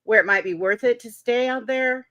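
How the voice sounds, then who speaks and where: A woman's voice: a little high, normal speed, pushed and bright, no room sound. A woman co-hosting a casual live podcast, chatty and greeting viewers by name. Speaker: podcast co-host.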